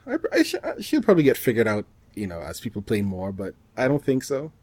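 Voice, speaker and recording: A man co-hosting a podcast, chatting casually about gaming in a halting way, often rephrasing himself mid-thought. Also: medium pitch, huge pitch swings, a little mellow, breathy edge, no room sound. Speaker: podcast co-host.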